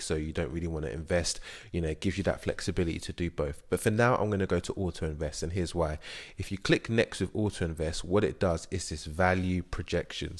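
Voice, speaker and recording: A young man with a very low, deep voice talks viewers through an investing app screen by screen. He is chatty and explanatory, with frequent fillers. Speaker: investing channel host. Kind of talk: screen-share app walkthrough.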